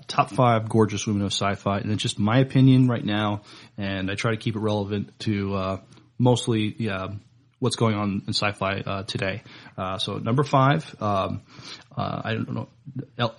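Male co-host, low and gravelly, hesitant casual delivery full of pauses. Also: steady tone. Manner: halting, hesitant, many pauses and fillers. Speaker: podcast co-host. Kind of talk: casual podcast chat.

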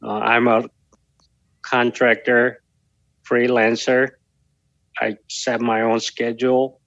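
Korean-native man, middle-aged, slow halting delivery, gravelly, dry dull lo-fi sound. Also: mid-range voice, little pitch movement, fairly bright tone, no room sound. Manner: halting, slow, many pauses and fillers. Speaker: guest. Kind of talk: podcast interview.

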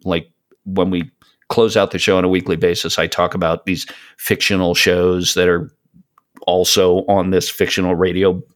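Deep-voiced man chatting on a podcast. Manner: rambling, hesitant searching for words. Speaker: podcast guest. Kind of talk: casual podcast chat, recommending audio dramas.

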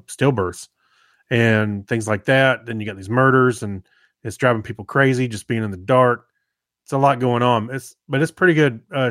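A man's voice: fairly low pitch, no room sound. Laid-back man in dry, close sound. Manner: laid-back, rambling, halting. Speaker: podcast co-host. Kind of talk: casual, unscripted podcast chat.

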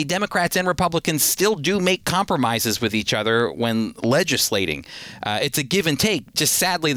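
Earnest, bright-voiced male radio Bible teacher. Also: mid-range voice, no echo. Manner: earnest conversational preaching with fillers.

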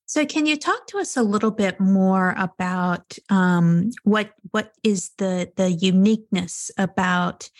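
A woman's voice: medium pitch, a little slow, few pauses, dry recording, clean studio sound. A woman hosting an interview, asking questions in a casual way with "um" and "you know". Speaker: podcast host.

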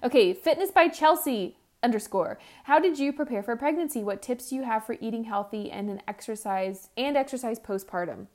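A woman's voice: on the high side, fairly quick, hardly any pauses. A woman in her thirties hosting a solo podcast, answering listener questions in a candid, chatty way with frequent restarts and self-deprecating asides. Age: 30s. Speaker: podcast host.

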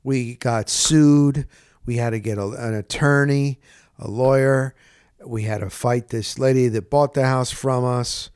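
A man's voice: medium pitch, measured pace, clean tone, dry recording, studio quality. A middle-aged man hosting a sports podcast, talking in a casual, rambling monologue and telling stories in an informal way, often interrupting himself. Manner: informal, animated storyteller with self-interruptions.

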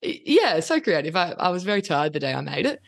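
A woman's voice: fairly low pitch, sing-song, a little fast, gravelly. A woman with a thick Australian accent, a podcast guest who talks in a friendly, giggly, eager way. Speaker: guest, occupational therapist and podcaster. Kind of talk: casual podcast interview.

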